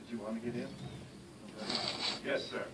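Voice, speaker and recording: A man's voice: medium pitch, level tone, moderate pace. A man moderating a question session informally, with a wry, jokey way of calling on people. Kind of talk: informal hosting, calling on audience members. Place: live public question-and-answer event. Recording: distant microphone, clearly noisy event recording.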